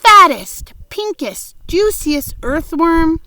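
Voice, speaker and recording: A woman voicing a confident young boy in a storybook reading, explaining his plan with excited insistence. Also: very high voice, slow, no room sound, pitched above the performer's own voice, exaggerated voice. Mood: eager.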